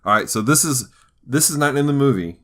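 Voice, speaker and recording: A man's voice: mid-range voice, expressive, fairly quick, fairly bright tone. A man hosting a podcast, chatting casually in a jokey, easygoing conversational style. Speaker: podcast host. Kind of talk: casual chat.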